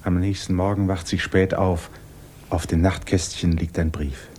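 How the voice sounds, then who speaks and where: An actor playing a grave, detached storyteller, narrating a 1950s German radio drama. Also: male voice, low voice, fairly even intonation, moderate pace, dark tone, lightly acted. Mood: grave, subdued. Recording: hissy 1950s radio recording.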